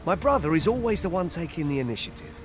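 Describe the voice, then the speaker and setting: Earnest village guide, lightly high voice. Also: male voice, big pitch swings, quick pace, faint music behind. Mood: regretful. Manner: earnest, plain-spoken, archaic rustic phrasing. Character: worried village man, protective older-seeming brother and forest guide. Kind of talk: scripted fantasy game dialogue in archaic English. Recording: game ambience noise behind voice.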